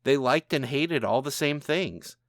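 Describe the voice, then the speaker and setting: A man's voice: medium pitch, animated, unhurried, a bit bright, no echo, clean studio sound. A man reading scripted fiction and notes as author and narrator.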